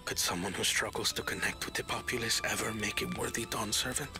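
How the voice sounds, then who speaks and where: An actor playing an earnest, bookish young prince in a video game, speaking in a measured, formal, reserved way. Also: male voice, fairly low pitch, natural voice. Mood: self-reproachful, troubled. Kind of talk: video game cutscene acting. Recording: background music under dialogue.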